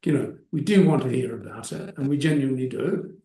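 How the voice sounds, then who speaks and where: A man's voice: mid-range voice, expressive, a little fast, dark tone. A middle-aged man with an Australian accent, giving thoughtful, hesitant interview answers as an expert witness with frequent restarts. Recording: echoey room, mic at a distance.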